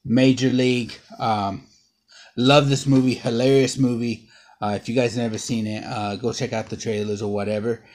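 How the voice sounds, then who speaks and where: A man's voice: mid-range voice, flat delivery, normal speed, a little mellow. A man in his thirties talks straight into a close camera in his living room. He is bruised and sore, and he rambles through a casual show-and-tell haul in an everyday middle-range voice, with lots of ums and uhs. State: bruised and sore after a fall. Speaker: vlogger. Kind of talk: casual haul and unboxing vlog. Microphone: camera close up at low angle.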